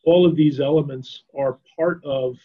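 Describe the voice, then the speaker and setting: An older man speaking off the cuff on a video call, measured and halting, repeating words as he thinks. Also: a little high, steady tone. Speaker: panelist in a business discussion. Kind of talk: unscripted conference discussion. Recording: slightly dull video-call audio.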